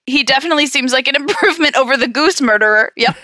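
A woman's voice: high voice, quick pace, sharp tone, no echo, clean studio sound. A giggly, blunt woman co-hosting a podcast with casual comedic banter. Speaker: podcast co-host.

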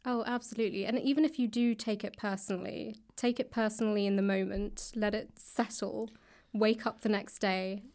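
A woman's voice: mid-range voice, fairly even intonation, normal speed, darkish tone. A woman speaks as an interview guest with a mid-range voice. She explains things thoughtfully in a natural, conversational way, working through her points as she talks. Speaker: interview guest, author and actor.

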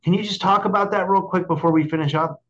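The audio is dull and lo-fi. A man with a fairly high voice hosts a casual interview, speaking effusively and haltingly, often doubling back on his words. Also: fairly even intonation, a bit bright. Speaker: show host.

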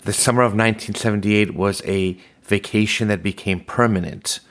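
A man in his fifties, born in Iran, telling his life story in a measured, reflective way during a podcast interview. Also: fairly low pitch, steady tone, a bit bright. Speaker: podcast host being interviewed. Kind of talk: conversational interview.

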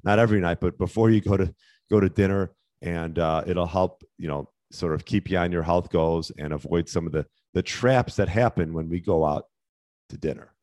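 Low-voiced man hosting a fitness podcast. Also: moderate pace, no room sound, clean studio sound. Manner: relaxed, rambling with fillers and restarts. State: losing his voice, clearing his throat. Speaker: podcast host and fitness coach. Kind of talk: casual solo podcast advice.

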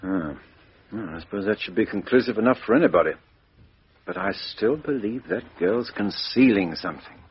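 An actor playing a brisk, courteous British police inspector questioning people in a 1950s radio mystery. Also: male voice, on the low side, lively intonation, husky. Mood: brisk, musing. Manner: businesslike, questioning, hesitant fillers. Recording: muffled 1950s radio drama recording.

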